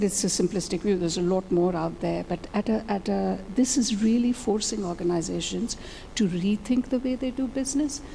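A woman in her forties sits on a conference stage with a handheld mic, laying out her points as a panelist in a steady, explanatory way. Her voice has a mellow, darker tone. Faint room noise hangs behind her. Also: mid-range voice, gravelly. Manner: fluent, explanatory, gestures with her hand. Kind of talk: panel discussion.

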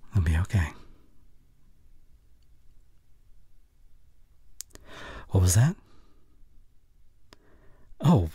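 A young man playing an anxious, caring boyfriend in an intimate ASMR roleplay, speaking softly and hesitantly close to the mic. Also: deep voice, dry recording, heavily acted. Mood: soothing, tender. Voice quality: soft, breathy. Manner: gentle, hesitant, murmuring reassurance. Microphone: close microphone.

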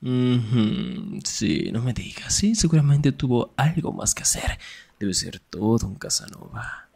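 A man acting a young, sarcastic and jealous best friend in an ASMR roleplay, teasing in a breathy voice that often drops toward a whisper. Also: medium pitch, big pitch swings, a little slow, darkish tone, exaggerated voice. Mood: wry, teasing.

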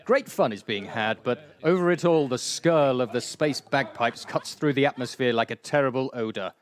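A wry storybook narrator read by a man for a comic space radio play. His voice sits at an ordinary male pitch as he reads the scripted tale. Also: normal speed, lightly acted. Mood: wry, narrating.

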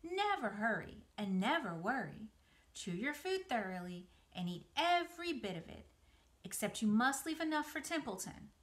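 A wise, motherly spider from a storybook , played by a woman. She is calm and comforting, speaks a little high, and gives firm instructions with reassurance. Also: moderate pace.

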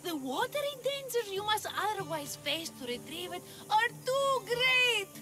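A woman's voice: very high-pitched, very animated, a little slow, over music, full character voice. An actress voicing a sour female trial master who gives dry, weary warnings.

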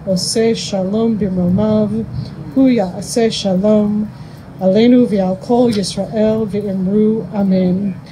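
A middle-aged American woman officiates in a very dark, mellow voice at a middle pitch. She speaks ceremonially with halting pauses. The outdoor microphone picks up faint ambient noise. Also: rough recording. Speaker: rabbi officiating a graveside funeral. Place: outdoors under a cemetery tent. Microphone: microphone on a stand.

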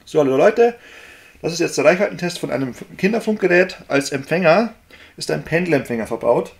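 A man's voice: fairly high pitch, animated, fairly quick, vocal fry. A man with a German accent, a native German speaker, giving a casual hobbyist demonstration. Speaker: amateur electronics hobbyist presenter.

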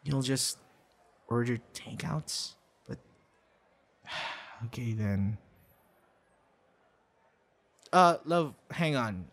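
Young boyfriend role, acted by a man for audio roleplay: mid-pitched, shy and kind, conversational with small stammers. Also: creaky, husky, exaggerated voice. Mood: pleading, tender. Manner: conversational, hesitant, with stammers and soft asides. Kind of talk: ASMR boyfriend roleplay acting.

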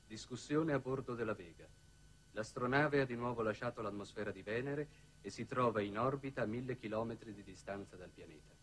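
Report-style narrator played by a man, formal space log, slightly raspy mid voice, vintage TV drama. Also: medium pitch, fairly quick, lightly acted. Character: formal male log announcer of a space mission.

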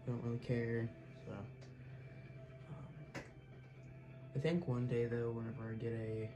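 A man's voice: mid-range voice, vocal fry, lo-fi sound. A man casually rambling to the camera as he plays with a radio scanner, recorded on a handheld phone in a room at home. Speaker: hobbyist radio scanner vlogger. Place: small indoor room at home. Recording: loud static and noise, home phone recording. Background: radio scanner static.

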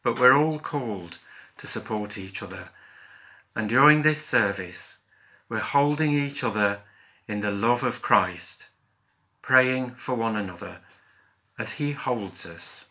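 A British man in his fifties, a minister leading worship with solemn, deliberate phrasing. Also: mid-range voice, sharp tone, poor audio. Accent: British English. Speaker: Methodist minister. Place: small living room at home.

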